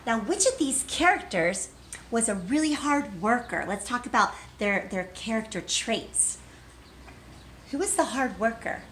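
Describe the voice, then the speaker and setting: A woman's voice: medium pitch, a bit bright, a little hiss or hum. A woman teacher leading a read-aloud lesson for young children in simple, encouraging language, asking questions and answering them herself.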